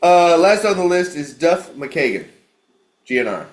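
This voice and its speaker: A man hosting a podcast interview, speaking thoughtfully and giving quick affirmations. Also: a little high, big pitch swings, roomy sound. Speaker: podcast host. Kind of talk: casual interview.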